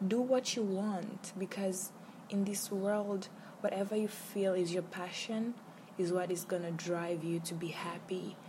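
A woman's voice: mid-range voice, noisy background. A young woman hosting her own podcast, talking earnestly and encouragingly and repeating her key phrases. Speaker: podcast host. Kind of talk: solo motivational podcast talk.

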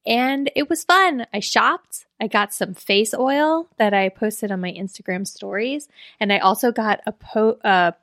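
A woman chatting casually as a podcast co-host, her voice raspy from allergies. She talks in a chatty, easily amused way. The sound is close and dry, with no room around it. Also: mid-range voice, measured pace, fairly bright tone, no echo. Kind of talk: casual chat.

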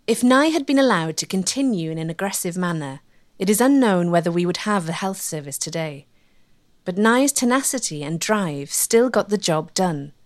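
A woman reading scripted documentary narration in a measured, clear storytelling manner. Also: lowish voice, lively intonation.

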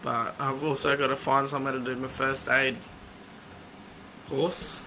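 A man's voice: mid-range voice, level tone, a little slow, background noise. A man, co-hosting a podcast in cheeky, joking, casual banter. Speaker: podcast co-host.

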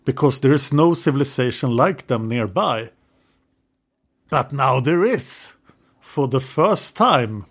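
A man's voice: mid-range voice, animated, slow, fairly bright tone, husky. A male podcast host with a mid-range voice who leads the conversation. He explains history at length in a casual way and now and then teases his co-host. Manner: teasing, leads the talk in long explanations.

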